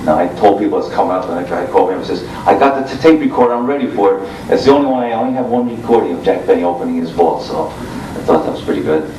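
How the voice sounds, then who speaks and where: American male radio host, fairly low register, halting panel talk, recorded at a distance with faint noise. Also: dark tone. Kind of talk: informal explanatory panel talk. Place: convention room before an audience. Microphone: informal video recording, mic at a distance. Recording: echoey room, distant mic, faint noise.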